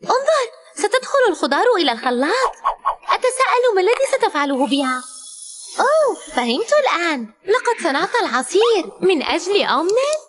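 Very high-pitched, animated woman narrating for kids. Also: a little fast, slight background noise. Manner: animated, exclaiming, talking to a cartoon character as to a small child. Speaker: narrator of children's educational cartoon. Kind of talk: scripted children's narration, teaching vocabulary.

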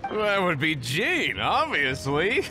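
Game tavern drunk: carefree knight, extremely slow slurred drawl, swinging pitch, music behind. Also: male voice, wildly swooping pitch, exaggerated voice. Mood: merry, drunk. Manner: drawling, giggly, hiccuping drunk. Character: tipsy, carefree male knight drinking in a tavern. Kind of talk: video game dialogue acting.

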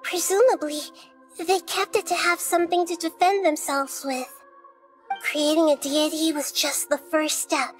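A woman playing a wise, gentle, childlike goddess in a video game, speaking in a measured, solemn storytelling manner. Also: extremely high pitch, fairly even intonation, soft background music. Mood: grave explaining. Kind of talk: scripted video game dialogue.

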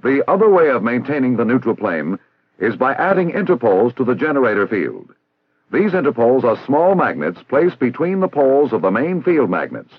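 Male narrator, American, for vintage 1960s instructional film; formal and didactic, husky edge, very dry lo-fi sound. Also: medium pitch, very animated, a little fast, dry recording. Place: recorded voice-over.

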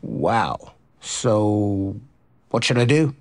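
A man's voice: fairly low pitch, very animated, drawn-out words, exaggerated voice. An actor playing an easygoing, cocky, slightly dim male athlete in a romantic comedy audio drama, casual and nonchalant. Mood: sheepish, unbothered.

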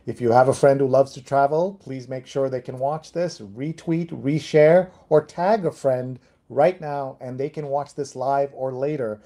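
Mellow middle-aged man hosting. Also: mid-range voice, fairly even intonation, unhurried, hardly any pauses. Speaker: host, interviewer. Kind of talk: conversational live-show hosting. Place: room with bookshelves.

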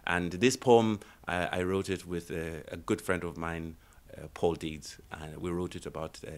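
A man in his fifties, a poet, speaking slowly and earnestly about a poem from a book-lined room. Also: sits low, steady tone. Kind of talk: introducing a poem. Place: home room with bookshelves, indoors.

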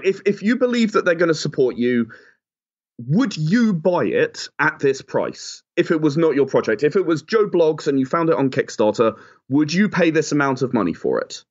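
A man speaking as an interview guest, giving advice and explaining in an earnest, emphatic way. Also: a little high. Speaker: interview guest, audio drama and game creator. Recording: slightly dull, lo-fi audio.

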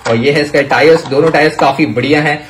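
Enthusiastic, higher-pitched Indian man. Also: fast talker, heavy background noise. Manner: enthusiastic, exclamatory, emphatic. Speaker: toy review channel host. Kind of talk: excited toy showcase commentary for children.

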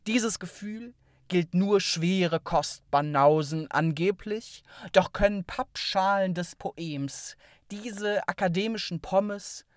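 A poet reading their own literary work in a measured, deliberate manner with pauses between phrases. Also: medium pitch, no echo, clean studio sound. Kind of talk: literary poetry reading, spoken word.

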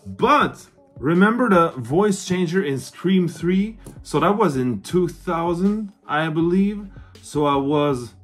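Higher-pitched excitable man in his thirties, slightly husky. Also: slow, soft background music. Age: 30s. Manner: excited, swearing, informal. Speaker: vlogger, horror collector. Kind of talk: casual show-and-tell review to camera. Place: small cluttered room indoors.

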